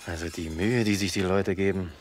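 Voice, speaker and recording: Man playing smug easygoing salesman guy in a radio play, deep voice, short casual musing phrases. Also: fairly even intonation, fairly quick, soft background music, close to an everyday voice. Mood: idle musing. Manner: casual, clipped musing to himself. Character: breezy, self-satisfied travelling salesman stranded by a breakdown. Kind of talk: German radio drama acting.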